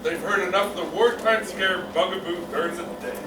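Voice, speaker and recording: Pompous, dismissive manager played by stage actor, high male voice, roomy recording. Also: brisk, close to an everyday voice. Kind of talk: stage play in radio drama style. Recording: echoey far stage mic, clear noise.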